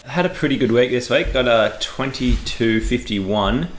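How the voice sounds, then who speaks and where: An Australian man with a hoarse voice, a podcast guest talking casually with hesitant fillers. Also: medium pitch, normal speed, roomy sound, slight background noise, poor audio. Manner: relaxed, hesitant, full of fillers.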